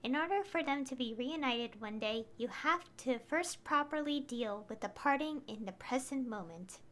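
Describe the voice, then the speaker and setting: A woman's voice: high-pitched, animated, normal speed, a bit bright. A woman talking casually and in a rambling way, as a streamer commentating on a game. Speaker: game livestreamer. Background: game music.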